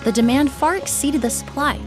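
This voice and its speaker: A woman's voice: sits high, moderate pace, over music, natural voice. A woman playing a poised young perfumer. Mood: calm. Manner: composed, explanatory, polished delivery. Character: refined young adult woman perfumer, calm and measured. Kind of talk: video game cutscene dialogue.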